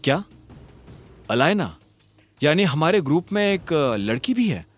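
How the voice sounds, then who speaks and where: A man's voice: a little high, very animated, a little slow, faint music behind. Man playing a terse, cool young hero. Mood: probing.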